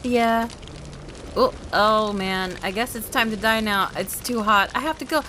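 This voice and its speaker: A woman's voice: medium pitch, measured pace, bright, forceful tone, a little hiss or hum. A woman playing a doting werewolf girlfriend in a comedic ASMR roleplay, gushing in baby talk with pet names. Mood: dazed, comic. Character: doting, sugary werewolf girlfriend home tired from work.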